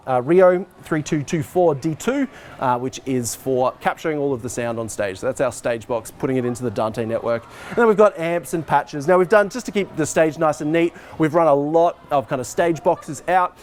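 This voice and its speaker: A man in his forties with a slightly high voice leads an informal technical walkthrough. He explains things conversationally, like a teacher showing people around. There is a faint background noise behind him. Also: a little fast. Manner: explanatory, conversational, moving about while talking. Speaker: teacher, head of department giving a technical tour. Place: school hall stage and backstage, indoors.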